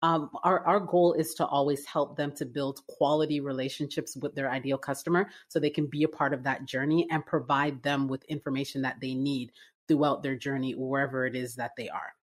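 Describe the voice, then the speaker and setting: A woman from Canada in her forties, a business-owner guest telling her story in a relaxed interview, with lots of fillers and restarts. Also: very deep voice, brisk. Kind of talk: casual interview conversation.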